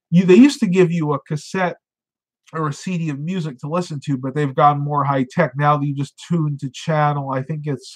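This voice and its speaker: Congested man chatting on a live stream. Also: fairly high pitch. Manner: rambling, lots of fillers, chatty. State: congested, losing his voice. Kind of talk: casual live-stream chat reading viewer comments.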